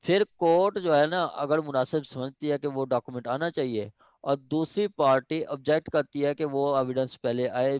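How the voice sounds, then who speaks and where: A man with a Pakistani accent giving an informal law lecture, explaining step by step and reading formal passages haltingly. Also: medium pitch, darkish tone, clear, resonant tone, no echo, highs cut off. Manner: switches between reading formal English haltingly and explaining conversationally. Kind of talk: informal lecture explaining a legal text clause by clause.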